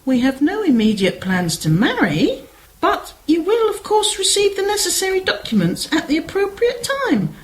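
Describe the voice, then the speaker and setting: A woman's voice: very high-pitched, sing-song, normal speed. A woman playing a chatty, inquisitive village woman in an amateur radio play. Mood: reading gravely. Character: chatty, inquisitive village sister seeking company in London. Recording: slightly noisy amateur radio recording.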